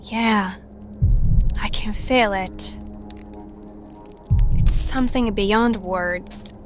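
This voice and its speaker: A young survivor heroine acted by a woman speaks at a middling pitch with slow timing. She is plucky and wry, casual and to the point, in audio drama style over faint music. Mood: earnest, awed. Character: wry, plucky young female survivor seeking to stop an apocalypse.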